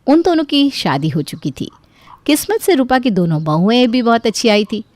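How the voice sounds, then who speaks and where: A woman reading scripted narration for an animated family drama as a storyteller. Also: a little high, moderate pace, no room sound.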